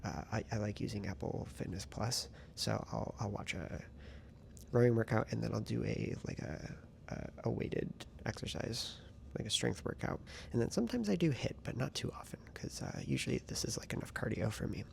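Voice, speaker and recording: A man talking to the camera in a casual diary-style writing vlog, chatty and excitable, with soft whispery asides. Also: fairly low pitch, moderate pace, vocal fry, a little hiss or hum. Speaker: writing vlogger.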